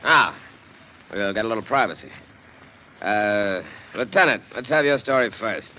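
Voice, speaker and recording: An actor playing a brusque, impatient police detective sergeant in a 1940s radio mystery, firing curt, slangy questions. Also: male voice, fairly low pitch, lively intonation, moderate pace, dull audio, close to an everyday voice. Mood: probing. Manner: curt, bossy, slangy tough-cop questioning. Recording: hissy 1940s radio broadcast recording.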